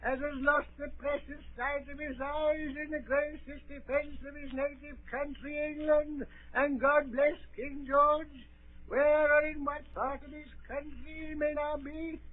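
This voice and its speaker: A blind old beggar pirate in a vintage radio play. The voice is very high and drawn out, wheedling on the surface and menacing beneath. It comes through a thin, tinny 1930s recording. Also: male voice, flat delivery, dry recording. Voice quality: soft-spoken. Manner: slow, wheedling, rustic seafaring speech. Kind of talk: 1930s radio drama acting.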